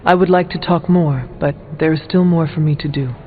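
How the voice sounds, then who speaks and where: An actor playing an earnest warrior hero in a video game, talking in a formal, careful way. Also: male voice, on the high side, steady tone, a little fast, lightly acted. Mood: sympathetic. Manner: formal, careful, avoids contractions. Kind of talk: video game character dialogue. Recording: muffled phone-like band, music behind.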